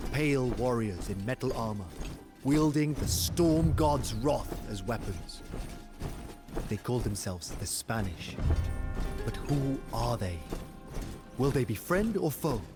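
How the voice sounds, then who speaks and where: An actor playing a weary, devoted military commander in a video game, narrating war in a grave, measured, dramatic first-person style over music. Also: male voice, mid-range voice, close to an everyday voice. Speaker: narrator of campaign cutscenes. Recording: studio voice over background music.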